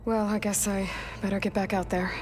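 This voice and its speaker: A woman's voice: mid-range voice, flat delivery, fairly quick, darkish tone, a little hiss or hum, lightly acted. An actress voicing a determined young female hunter who speaks plainly and dryly. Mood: even. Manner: direct, dryly understated.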